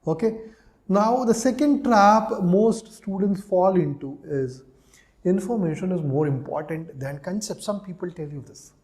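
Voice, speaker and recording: A man's voice: high voice, lively intonation, unhurried, darkish tone. A man with an Indian accent giving an explanatory lecture as an exam coach, emphatically repeating key phrases and checking in often.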